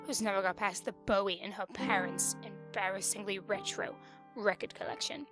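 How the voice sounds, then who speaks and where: A woman's voice: medium pitch, fairly even intonation, moderate pace, close to an everyday voice. A woman playing a sarcastic, combative, articulate interviewer in an amateur comic dub. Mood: contemptuous. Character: scathing skeptical woman interviewer, sweary and combative. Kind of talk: amateur fandub voice acting. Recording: music behind the voice.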